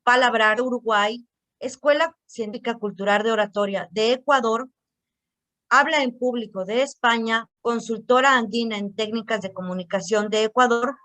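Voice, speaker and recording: A woman with a fairly high voice formally announces event partners in a crisp, steady manner. The sound is dry and close, with no room echo, as she reads through the list. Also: moderate pace. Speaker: event presenter.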